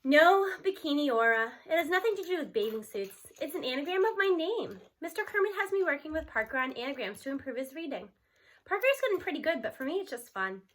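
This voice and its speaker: A woman's voice: high-pitched, big pitch swings, fast, poor audio. An American woman reading a children's novel aloud, telling it expressively in the first person as a teenage narrator. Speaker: storybook reader.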